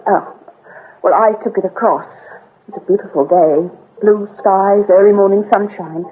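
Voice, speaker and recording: A woman's voice: mid-range voice, fairly even intonation, moderate pace, phone-quality sound, close to an everyday voice. An actress as a nervy young British woman, thin radio sound. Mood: reflective. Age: young adult. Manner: hesitant, well-mannered, apologetic. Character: polite, nervy young vicar's daughter, murder witness. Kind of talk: radio drama acting. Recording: thin band-limited radio recording with hiss.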